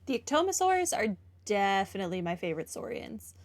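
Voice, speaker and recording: Female game commentator: chatty, wry, off-the-cuff, medium pitch. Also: sing-song, brisk. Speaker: video game streamer. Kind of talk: casual playthrough commentary.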